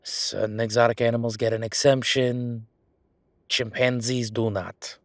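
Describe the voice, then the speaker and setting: A man's voice: mid-range voice, little pitch movement, measured pace, no echo, clean studio sound, close to an everyday voice. A man playing a defensive, chatty government records clerk in an audio roleplay, talking in a rambling, colloquial way. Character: male records hall clerk, defensive, chatty, lazy bureaucrat. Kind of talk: solo audio roleplay, conversational acting.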